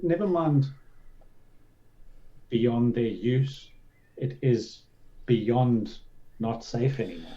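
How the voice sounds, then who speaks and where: A man's voice: mid-range voice, animated, very slow, pauses often, warm tone. A man co-hosting a show in casual chat, sweary and self-deprecating. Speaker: show co-host and reviewer. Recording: dull, noisy microphone audio.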